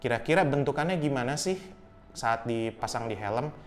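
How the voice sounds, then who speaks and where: A young man casually reviewing a helmet intercom, explaining each step in a relaxed, friendly way. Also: medium pitch, normal speed, soft background music. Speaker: product reviewer.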